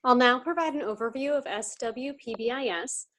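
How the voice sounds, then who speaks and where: Woman instructor, kinda high voice, formal presentation overview. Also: moderate pace, a bit bright. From New Jersey. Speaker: instructor and life coach. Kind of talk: reading a prepared class presentation.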